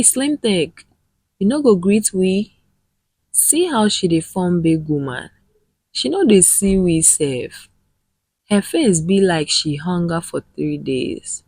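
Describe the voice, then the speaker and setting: A woman's voice: lowish voice, animated, slow, long pauses, a little mellow, slightly rough, no room sound, full character voice. A woman voicing an arrogant young male street bully, taunting and swaggering in West African Pidgin. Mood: mocking, taunting.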